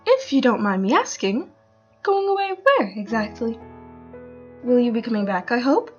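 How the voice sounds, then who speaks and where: A woman's voice: fairly high pitch, big pitch swings, normal speed, dull audio. A woman voicing a refined, dramatic and affectionate female pony with a theatrical, ladylike manner in a fan audio drama. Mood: concerned, prying. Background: music.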